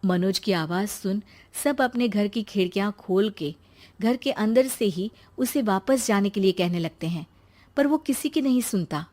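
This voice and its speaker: A woman's voice: medium pitch, animated, moderate pace, warm tone. A woman storyteller reading a scripted cartoon story in a dramatic narrating style.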